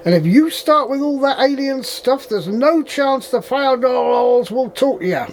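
Man acting a high-voiced rustic English farm manager. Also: lively intonation, a little slow, a little hiss or hum, heavily acted. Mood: warning. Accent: rural English. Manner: folksy dialect, addresses others as young lad. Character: gruff old-fashioned male farm manager, suspicious yet chatty. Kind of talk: audio drama acting.

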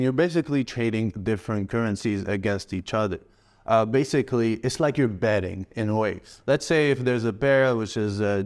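A man in his thirties from Morocco, a guest trader answering in a relaxed, rambling way. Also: on the low side. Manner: relaxed, rambling, frequent fillers and restarts. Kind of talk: casual interview answers.

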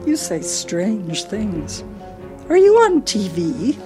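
An actress in a radio play performing a confused, volatile older wife with failing memory. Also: female voice, mid-range voice, huge pitch swings, slow pace, music in the background. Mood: confused, childlike. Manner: agitated, swinging between accusation and childlike politeness.

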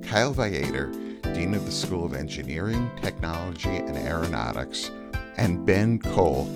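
Male announcer, very low and animated, scripted podcast intro read over clear guitar music. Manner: reads credits and introductions. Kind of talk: scripted narration.

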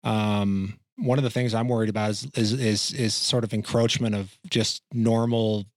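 A man who works as a fishing guide and grew up in Montana, chatting on a podcast in a halting way with many fillers and repeated words. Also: lowish voice, little pitch movement, no room sound, studio quality. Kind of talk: podcast conversation.

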